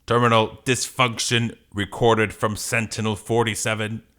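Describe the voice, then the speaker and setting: A man voicing a giant emotionless killer robot from a comic, with slow, stiff mechanical delivery. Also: on the low side, flat delivery, a bit bright, natural voice. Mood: cold, reporting.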